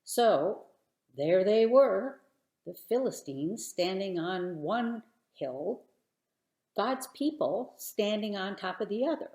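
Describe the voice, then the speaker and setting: Slow, dramatic woman storyteller. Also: medium pitch, echoey room. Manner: expressive, theatrical, deliberate. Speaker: children's storyteller. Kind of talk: dramatic bedtime story narration.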